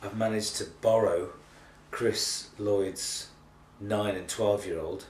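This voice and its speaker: Low, slightly croaky man in an echoey room. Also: fairly even intonation, takes it slowly. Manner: hesitant, rambling, self-deprecating. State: tired, with a bit of a cold. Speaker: theatre artistic director giving a monthly video update. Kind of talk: casual, unscripted video blog chat. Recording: echoey, distant mic, faint noise.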